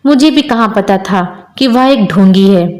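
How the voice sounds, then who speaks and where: A woman's voice: medium pitch, animated, unhurried, a little mellow, reverberant, lightly acted. A woman voicing an older, devout village mother-in-law with a deferential, anxious manner in a moral tale. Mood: consoling.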